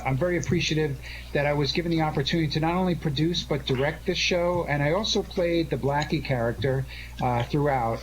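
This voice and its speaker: A man in his forties or older chatting casually and earnestly as a live radio host, halting and full of thanks, over an internet call. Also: on the high side, rough recording. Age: 40s or older. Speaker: show host and director. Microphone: Skype-type connection. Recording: internet call with background noise.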